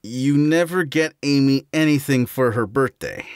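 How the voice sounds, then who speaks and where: A man's voice: mid-range voice, measured pace, a little hiss or hum, close to an everyday voice. A man voicing an eager, friendly young male hedgehog in a fan audio drama, easygoing and upbeat.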